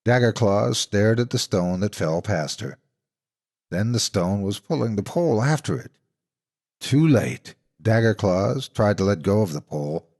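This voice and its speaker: Deep-ish guy reading scary stories, deliberate and dramatic, voice swings up and down a lot. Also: a little mellow. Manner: deliberate, suspenseful storytelling. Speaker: horror story narrator. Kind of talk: dramatic scripted narration.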